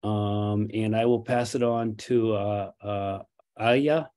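Halting older man on a video call. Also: lowish voice, fairly even intonation, a little mellow. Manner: halting, frequent fillers. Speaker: co-op member. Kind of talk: casual video call introduction.

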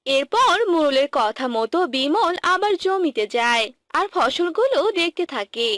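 A woman's voice: sits high, very animated, unhurried, a bit bright, dry recording, studio quality. A woman narrating a scripted children's story.

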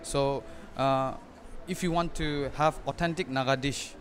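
A young man speaks into a handheld mic in a restaurant, answering a reporter's questions. His voice sits at a middle pitch, with faint room noise behind him. He talks earnestly, often stopping and restarting his sentences. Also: fairly even intonation, measured pace. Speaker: restaurant owner. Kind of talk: on-camera news interview. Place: indoor restaurant dining area.

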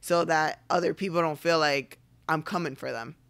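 A young woman speaking casually and assertively as a podcast guest, choosing her words deliberately. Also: low-pitched, a bit bright, clean tone. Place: living room couch, indoors. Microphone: podcast microphone on boom arm.

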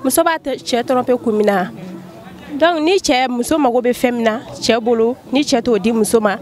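A woman's voice: fairly high pitch, moderate pace, a little mellow. A young woman with a Malian accent, answering a street interviewer with chatty, giggly replies, outdoors on a handheld mic. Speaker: passer-by.

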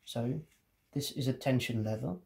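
A man's voice: medium pitch, normal speed, creaky, dark tone. A man presenting a casual hands-on tutorial, explaining things step by step.

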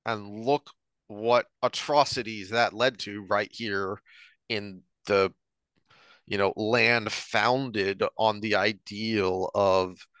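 Bright-voiced American man, dry sound. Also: lowish voice, fairly even intonation, slow pace, clean tone, no room sound. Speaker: podcast co-host. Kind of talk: earnest discussion of politics and philosophy.

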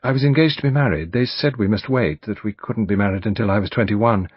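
A man's voice: on the low side, quick pace, slightly rough, no room sound. A man reading an audiobook, voicing an earnest, determined young woman who speaks insistently. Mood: grave. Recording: dull lo-fi recording.

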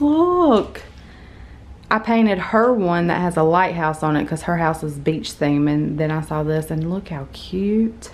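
A woman's voice: on the low side, darkish tone. A middle-aged woman giving a casual, chatty house tour as she walks through rooms holding the camera. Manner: chatty, informal. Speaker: vlogger. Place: inside a furnished house, walking between rooms. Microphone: handheld camera, held by the speaker. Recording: handheld camera audio with faint room noise.

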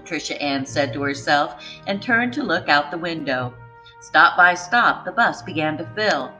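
Lady reading a kids book out loud, dramatic and expressive, bright voice, soft music under it. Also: low-pitched, poor audio. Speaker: children's storyteller. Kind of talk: expressive storybook read-aloud narration.

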